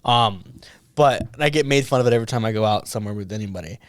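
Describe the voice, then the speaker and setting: A man's voice: lowish voice, fast, dry recording. A man co-hosting a podcast, chatting casually, laughing often and restarting his sentences. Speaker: podcast co-host. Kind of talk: casual chat.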